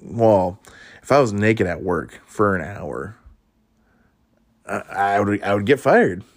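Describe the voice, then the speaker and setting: A man's voice: on the low side, animated, slow, dry recording. A man hosting a podcast, chatting casually through would-you-rather questions, rambling and swearing with frequent laughs. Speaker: podcast host.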